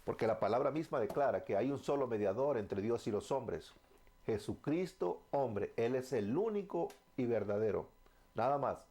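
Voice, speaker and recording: A man's voice: mid-range voice, lively intonation, normal speed, dark tone. A man in his thirties from El Salvador, with a Salvadoran accent, preaching his testimony fervently and answering his own questions. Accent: Salvadoran Spanish. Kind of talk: evangelical testimony sermon.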